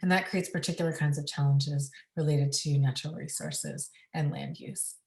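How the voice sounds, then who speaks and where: Low-voiced woman, far off in an echoey room. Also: fairly even intonation, normal speed, vocal fry, darkish tone. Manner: thoughtful, many ums and restarts. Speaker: panelist. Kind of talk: webinar panel talk.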